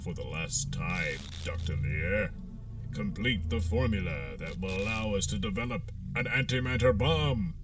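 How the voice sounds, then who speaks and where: A man's voice: lowish voice, lively intonation, measured pace, distant mic, music in the background. A man playing an evil Martian warlord, commanding and threatening, in a campy space-opera audio drama. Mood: menacing.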